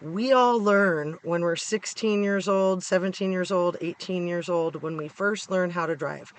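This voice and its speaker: A middle-aged American man with a hoarse, congested voice, giving casual, opinionated commentary to viewers in an informal, emphatic way. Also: sits high, unhurried. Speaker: true-crime vlogger. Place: inside a parked car.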